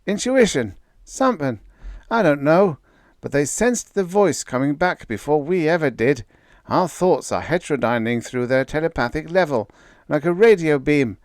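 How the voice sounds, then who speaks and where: Guy doing a brash salesman-type young guy, scared but pushing on, bouncy pitch. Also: wildly swooping pitch, unhurried, no echo, studio quality. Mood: dogged. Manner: brash, folksy.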